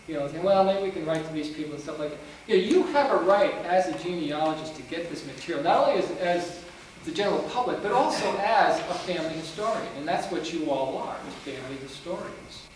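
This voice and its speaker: A man's voice: a little high, animated, brisk. A man with a mixed New England and North Country accent gives a rambling lecture on genealogy to a meeting, recorded from far off in a meeting room with a faint hiss. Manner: rambling, talking to the audience with many restarts. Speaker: genealogy speaker. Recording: roomy, distant, faint hiss.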